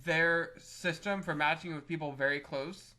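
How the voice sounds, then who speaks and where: A man's voice: on the high side, steady tone, normal speed, bright, forceful tone. A man casually going over gaming news as a podcast co-host.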